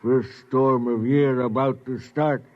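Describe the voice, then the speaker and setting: Casting: man as slow, fatalistic alien, broken English, polite, mid pitch, vintage radio sci-fi, dull old recording. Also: steady tone, close to an everyday voice. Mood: courteous, regretful. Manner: halting broken English, formal and unhurried. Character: placid, slow-witted male alien native, courteous and fatalistic. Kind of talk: 1950s radio drama acting.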